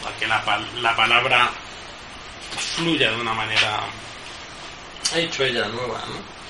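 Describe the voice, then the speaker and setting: A man, himself a poet, musing in a halting, self-deprecating way while posing questions to a fellow poet. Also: mid-range voice. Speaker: poet, interviewer. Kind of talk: literary conversation. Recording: echoey room, noisy background.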